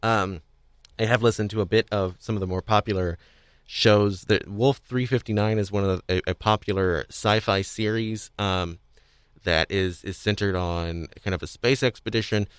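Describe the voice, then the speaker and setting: Low-voiced, rambling male podcast host. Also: fairly even intonation, normal speed, clean tone, dry recording. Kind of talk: casual podcast discussion.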